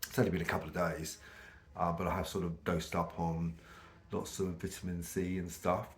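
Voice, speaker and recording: A middle-aged British man talks to camera, his voice croaky from a cold and pitched a little low. He rambles casually, pausing on ums and restarting his sentences. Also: little pitch movement. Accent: British English. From United Kingdom. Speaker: vlogger.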